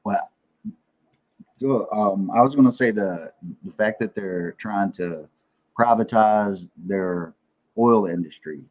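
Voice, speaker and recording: An American man with a deep, husky voice takes part in a casual political chat. He is laid-back and blunt, speaking as one of the panel. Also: slow pace, pauses often, darkish tone, poor audio. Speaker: political talk show panelist.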